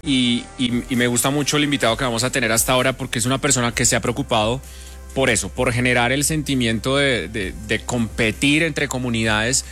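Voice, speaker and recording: A man's voice: medium pitch, steady tone, fairly quick, fairly bright tone, faint music behind. A man with a Colombian accent, speaking conversationally as the host of an interview show.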